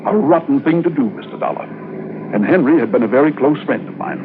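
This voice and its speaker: A man's voice: a little high, lively intonation, brisk, lightly acted. Man playing prim American businessman in his fifties, self-important, resentful, vintage radio drama, noisy narrow-band recording. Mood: bitter, indignant. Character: fussy, self-important insurance man of about fifty, resentful. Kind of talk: 1950s radio drama acting.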